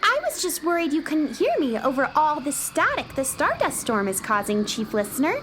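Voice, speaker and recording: A woman playing an eager, cheerful young girl adventurer in a children's space radio drama, earnest and excitable. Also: high-pitched, moderate pace, background noise. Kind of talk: children's audio drama acting.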